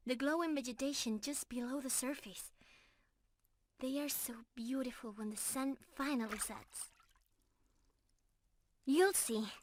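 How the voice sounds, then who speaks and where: A woman's voice: high-pitched, a little hiss or hum. A woman playing a sweet, bashful young mermaid in an intimate ASMR roleplay, affectionate and girlish, easily flustered and teasing.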